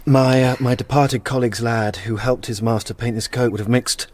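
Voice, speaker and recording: An actor playing a haunted young war veteran who restores church murals, in a naturalistic radio drama, hesitant in talk and eloquent about art. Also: male voice, medium pitch, steady tone, moderate pace, background noise, close to an everyday voice. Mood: wry, musing. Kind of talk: naturalistic radio drama, dialogue and reflective narration.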